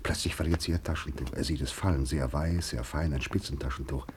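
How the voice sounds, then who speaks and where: A man narrating a literary radio play in measured, deliberate short phrases. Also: extremely low pitch, background noise.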